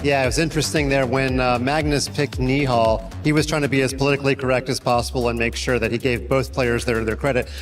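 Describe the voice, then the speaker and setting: A man's voice: medium pitch, fairly even intonation, fast talker, hardly any pauses, soft background music. A man working as a chess broadcast analyst, giving conversational sports-style analysis with folksy, colloquial phrasing.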